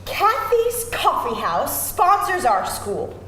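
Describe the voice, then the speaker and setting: A woman's voice: sits high, huge pitch swings, slow pace, fairly bright tone, exaggerated voice. A woman playing an intense, bullying soccer mom in a live table read, curt, menacing and emphatic. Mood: indignant. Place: live event room before an audience. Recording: very echoey room, faint noise.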